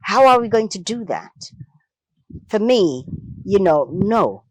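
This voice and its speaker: A woman's voice: medium pitch, sing-song, slow, no room sound. A woman speaking as an interview guest, emphatic and impassioned, repeating phrases for weight. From Jamaica. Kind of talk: impassioned discussion.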